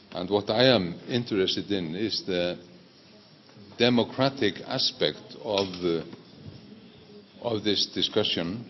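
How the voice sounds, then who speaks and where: A man from Iceland, giving measured remarks as a panelist. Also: low voice, highs cut off, a little hiss or hum. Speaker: panelist, politician.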